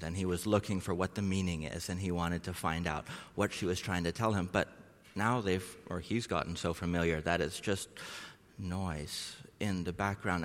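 Man, middle-aged, low-pitched, conversational sermon, informal storytelling, long reverb. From Norway and Southeast Asia. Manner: informal, chatty. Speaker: missionary preaching a sermon.

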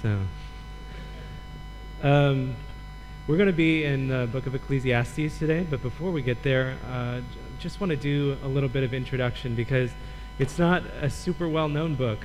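Relaxed man teaching a sermon. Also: mid-range voice, moderate pace, a little hiss or hum, rough recording. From Michigan. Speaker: guest preacher, Old Testament professor.

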